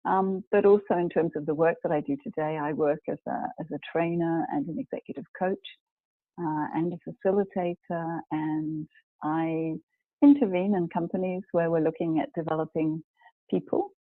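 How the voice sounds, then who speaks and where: A woman in her forties from New Zealand, an executive coach telling her story as a guest, measured and pausing often to find her words. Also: low voice, no room sound. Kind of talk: personal storytelling in a live video chat. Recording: tinny, phone-like dry sound.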